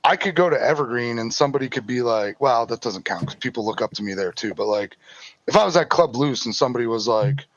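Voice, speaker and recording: Raspy guy, dry dull sound. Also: mid-range voice, expressive, fast, no room sound. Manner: loose, rambling, swears often. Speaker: drift driver guest. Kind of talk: casual podcast chat.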